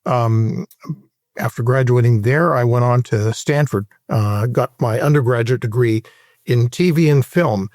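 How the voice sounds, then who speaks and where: A man in his sixties from Hawaii with an American accent, recounting his career in a measured, explanatory way as an interview guest. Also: medium pitch, darkish tone, no room sound, studio quality. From Hawaii, United States. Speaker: interview guest, private equity veteran. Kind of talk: conversational career recollection in an interview.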